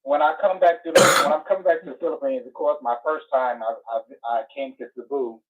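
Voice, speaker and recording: Older male caller in his sixties, halting and chuckling, heard over a thin phone line. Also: fairly high pitch, steady tone. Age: 60s. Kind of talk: phone-in chat.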